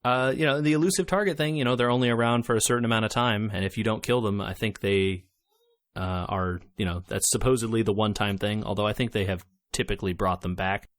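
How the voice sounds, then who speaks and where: A man co-hosting a podcast, chatting casually about video games and retelling things in an animated way. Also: fairly low pitch, fast, no room sound. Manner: animated retelling, acting out quotes, laughing often. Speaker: podcast co-host.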